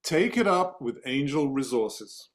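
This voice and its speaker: A man plays a stern male boss in a dramatic script reading. He speaks at a middle pitch, firm and curt, talking down to whoever he addresses. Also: very animated, measured pace. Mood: scolding. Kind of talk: dramatic table-read acting.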